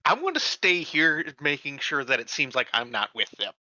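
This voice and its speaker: A man's voice: a little high, very animated, moderate pace, pushed and bright, no echo, dull audio. A man chatting casually as a player in a tabletop game, with a flippant, offhand manner.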